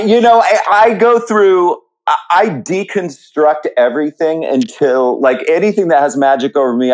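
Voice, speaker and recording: A man answering as an interview guest, casual and reflective, thinking aloud in halting phrases. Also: medium pitch, a little mellow, low-quality recording. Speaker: podcast guest, writer.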